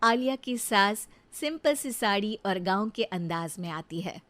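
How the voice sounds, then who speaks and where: A woman giving scripted storytelling narration for an animated moral story. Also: medium pitch, unhurried. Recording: music under the voice.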